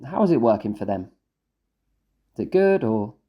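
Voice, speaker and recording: A man's voice: medium pitch, very animated, normal speed, dull audio. A man interviewing a guest on a podcast, asking short, direct questions.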